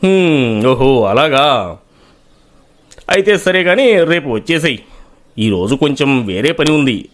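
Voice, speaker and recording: Firm village landowner played by a male actor, slightly high male voice, lively pitch, moral-story dialogue. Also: measured pace, pauses often. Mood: calm, questioning. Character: village men: stubborn landowner or authoritative elder.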